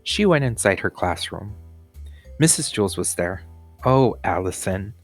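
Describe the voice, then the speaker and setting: Man, lower-pitched voice, storybook read-aloud for children, teacher, soft music underneath. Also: big pitch swings, normal speed, creaky.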